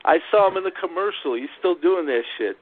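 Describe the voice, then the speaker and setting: A hoarse man hosts a casual chat, trading jokes with his guests. His voice comes through thin, like a phone line. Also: a little high, lively intonation, a little fast, a crowd in the background. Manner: joking, banter-heavy. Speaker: host. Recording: tinny phone-like sound.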